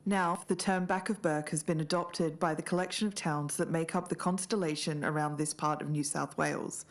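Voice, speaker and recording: A woman's voice: deep voice, steady tone, normal speed. A woman co-hosting a podcast, mixing scripted narration with casual asides. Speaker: podcast co-host.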